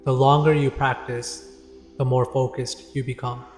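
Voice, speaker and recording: A man leading a guided meditation in a calm, deliberate, instructive way with pauses. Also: mid-range voice. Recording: echoey long reverb, music behind. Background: background music.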